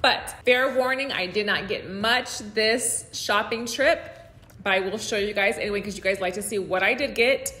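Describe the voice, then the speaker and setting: A woman's voice: medium pitch, normal speed, bright, forceful tone. A woman in her thirties with an American accent, chatting casually and informally to camera as a home decor vlogger. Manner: conversational, informal, frequent "like" and "you guys". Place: room at home, indoors. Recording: roomy indoor echo.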